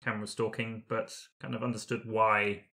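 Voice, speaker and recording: A man chatting casually as a role-playing podcast player, recounting in a halting, improvised way. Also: fairly low pitch, little pitch movement, sharp tone. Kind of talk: casual panel chat.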